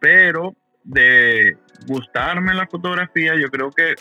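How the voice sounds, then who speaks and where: A man's voice: a little high, takes it slowly, lo-fi sound, faint music behind. A man chatting as a guest, earnest and repeating words as he searches for them. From Venezuela. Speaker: guest photographer. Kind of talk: casual conversation.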